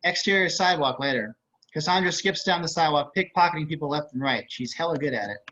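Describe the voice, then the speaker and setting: A man's voice: a little high, fast, pushed and bright. A man reading scripted stage directions as narrator of an amateur table read on a dull-sounding video call.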